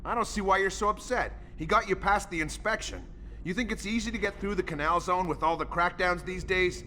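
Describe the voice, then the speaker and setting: An actor playing an impatient, dismissive henchman of a smuggler in a video game, talking briskly and brashly. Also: sits high, sharp tone, slight background noise.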